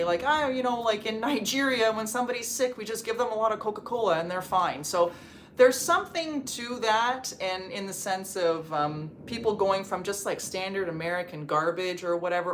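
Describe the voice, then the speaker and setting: A woman's voice: fairly low pitch, slight background noise. A woman from Canada hosting a casual livestream from home, reading and answering viewer comments in a chatty way, with lots of "like" fillers. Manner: chatty, filler-heavy with frequent "like". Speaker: livestream host. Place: home in Portugal.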